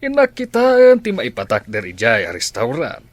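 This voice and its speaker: Expressive stern Filipino father. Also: medium pitch, moderate pace, no room sound. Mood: measured, questioning. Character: stern, business-minded father of a grown daughter. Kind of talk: Ilocano radio drama acting. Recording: dry studio with music underneath.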